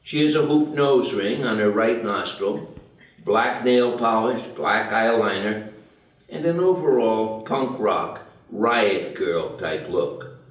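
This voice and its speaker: A man narrates a screenplay at a table read. His voice is a little low and his pace very slow, with a matter-of-fact manner. The room is heavily reverberant. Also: animated. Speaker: stage direction reader. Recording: very echoey room, distant mic.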